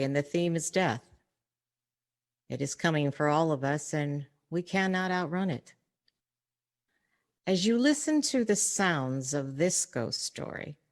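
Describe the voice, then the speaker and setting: A woman giving a scripted, dramatic introduction as a play's director, in a measured and solemn manner. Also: deep voice.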